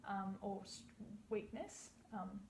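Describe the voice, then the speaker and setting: Young woman, slightly gravelly, calm practitioner walking a client through an eye exam; echoey room with faint hum. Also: mid-range voice, takes it slowly. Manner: explains step by step, reassuring. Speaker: iridology practitioner. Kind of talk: practice consultation.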